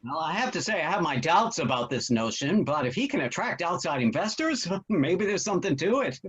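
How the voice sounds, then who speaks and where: A man's voice: a little high, very animated, a little fast, a bit bright, slightly rough, exaggerated voice. A man acting in a play reading as an older father, skeptical but supportive, with a dry, jocular manner. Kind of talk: virtual stage play reading. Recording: dull, lo-fi call audio.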